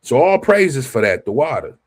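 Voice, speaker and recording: Guy teaching bible study online, preachy, throws out questions then answers them, mid pitch. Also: very animated, normal speed. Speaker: scripture teacher. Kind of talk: informal scripture study livestream.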